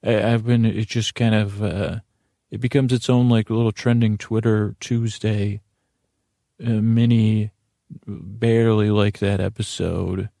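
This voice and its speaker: Gravelly, creaky-voiced man rambling softly at bedtime. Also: fairly low pitch, little pitch movement, dry recording, studio quality. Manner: rambling, halting, self-interrupting with trailing tangents. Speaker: podcast host. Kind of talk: meandering bedtime podcast monologue.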